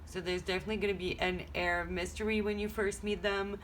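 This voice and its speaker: A woman's voice: medium pitch, flat delivery, quick pace, a bit bright, slight background noise, low-quality recording. A woman whose first language is French, chatting casually as she reads tarot cards at home, rambling and thinking aloud. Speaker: tarot reader. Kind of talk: casual card-reading vlog. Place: home room.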